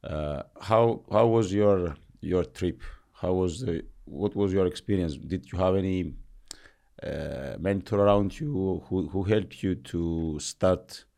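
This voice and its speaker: A man's voice: deep voice, takes it slowly, gravelly, warm tone. A man interviewing a footballer, asking leading questions and finishing the guest's sentences.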